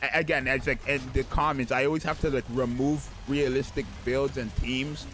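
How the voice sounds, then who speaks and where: Slightly raspy just-woke-up man. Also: mid-range voice, fairly even intonation, moderate pace, fairly bright tone, a little hiss or hum. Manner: rambling, keeps asking "right?". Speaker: gaming video host. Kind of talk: casual gameplay commentary.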